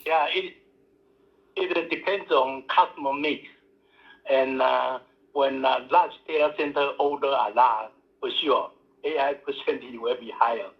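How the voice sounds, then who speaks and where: Halting executive on a muffled line. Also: male voice, mid-range voice. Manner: halting, many fillers, non-native phrasing. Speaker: company executive answering analyst questions. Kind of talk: earnings call answers. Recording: muffled phone-line audio.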